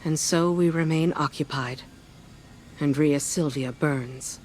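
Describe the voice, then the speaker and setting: An actress playing a weary, guilt-ridden female advisor who briefs in a measured, earnest way. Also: low-pitched, darkish tone, a little hiss or hum, poor audio.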